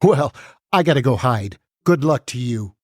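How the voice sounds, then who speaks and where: A man's voice: mid-range voice, expressive, moderate pace, a little mellow, close to an everyday voice. A man in a puppet show voicing a nervous banned book, emphatic and foreboding. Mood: resigned warning. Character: nervous, fugitive banned book, gossipy and resigned. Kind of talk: musical puppet show stage acting.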